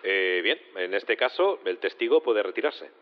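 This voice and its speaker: A man's voice: lowish voice, expressive, moderate pace, muffled recording, natural voice. A man playing a formal, procedural judge presiding over a trial in an audio drama.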